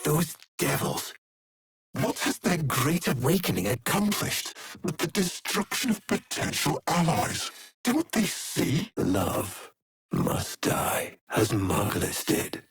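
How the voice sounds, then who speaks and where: An actor playing an imperious, bitter, tormented aristocratic game villain, theatrical and breathy, lingering on his words. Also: a little high, wildly swooping pitch, slow pace, dry recording, exaggerated voice. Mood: bitter, brooding. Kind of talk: dramatic video game villain acting.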